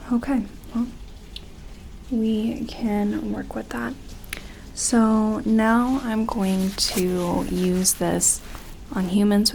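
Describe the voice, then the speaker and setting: Slow, soft-spoken whispery ASMR woman. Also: medium pitch, creaky, slight background noise, low-quality recording. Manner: gentle, hesitant, reassuring clinician talk. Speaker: ASMR performer playing a doctor examining an alien. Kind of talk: ASMR medical roleplay.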